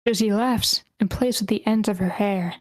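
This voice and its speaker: A woman's voice: medium pitch, moderate pace, darkish tone, no room sound. A woman reading stage directions aloud as the narrator at a screenplay table read, in short clipped phrases.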